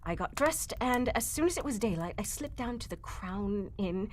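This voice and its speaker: A woman's voice: medium pitch, animated, normal speed, close to an everyday voice. A woman playing a frightened young client in a live 1940s-style radio mystery, speaking in a hesitant, melodramatic way. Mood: fearful. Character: Miss Stoner, frightened young woman client seeking help. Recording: faint background noise, live performance.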